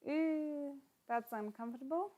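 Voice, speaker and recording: A woman giving casual, expressive live reaction commentary as a livestream host. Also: high-pitched, a little slow, narrow, tinny audio. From South Africa. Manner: expressive, exclaims and giggles while watching.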